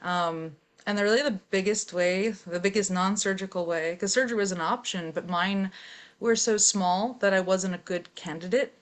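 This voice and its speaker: A young adult woman, a metal singer, talking openly as an interview guest in a relaxed, chatty way with frequent fillers. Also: mid-range voice, moderate pace. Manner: relaxed, open storytelling with fillers. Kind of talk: casual interview chat.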